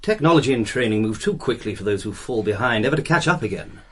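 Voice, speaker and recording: An actor playing an ambitious, stubborn British army officer and helicopter pilot in a radio drama, with an intense, persuasive manner. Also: male voice, medium pitch, animated, quick pace, fairly bright tone, breathy edge. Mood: bitter, determined.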